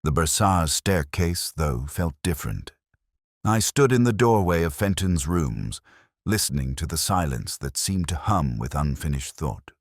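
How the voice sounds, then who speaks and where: A man playing a brooding, melancholy police detective, narrating his case in a measured, reflective, literary manner, as in a scripted mystery drama. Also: very deep voice, animated, dry recording, heavily acted.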